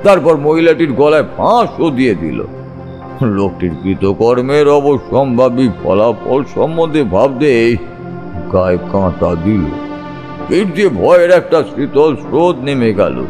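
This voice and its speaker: A man narrating a scripted first-person ghost story in a suspenseful, measured storytelling manner. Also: medium pitch, sing-song. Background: background music.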